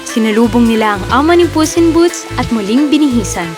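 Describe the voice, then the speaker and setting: A woman with a slightly high voice narrates a children's fairy tale. Her delivery is that of a scripted storyteller, and music plays clearly underneath. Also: lively intonation, fairly quick.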